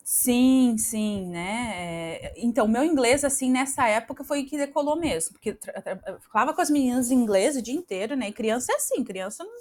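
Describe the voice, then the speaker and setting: A woman's voice: a little high, lively intonation, normal speed, hardly any pauses. A Brazilian woman in her thirties, a podcast guest talking casually, with many fillers and restarts. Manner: chatty, frequent fillers and restarts. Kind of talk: casual interview conversation.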